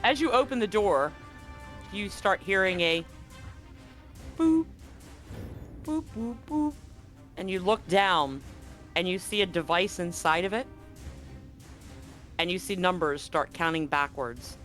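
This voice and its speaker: Male game master, fairly high voice, narrates scenes dramatically and handles rules casually. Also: animated, slow pace, long pauses, a bit bright, soft background music. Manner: dramatic scene-setting mixed with casual rulings. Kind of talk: narrating a tabletop roleplaying game. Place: live online stream.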